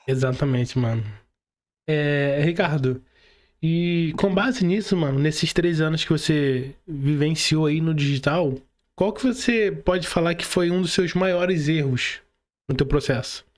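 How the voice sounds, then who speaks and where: Brazilian male host, distinctly high voice, casual interview style, colloquial with frequent check-in tags. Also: measured pace. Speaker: podcast host.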